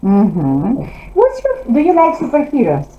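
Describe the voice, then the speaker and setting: A woman teaching English to children, encouraging them and gently correcting their answers in an interactive classroom lesson. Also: on the high side, huge pitch swings, unhurried. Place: classroom, indoors. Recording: roomy, slightly dull classroom audio.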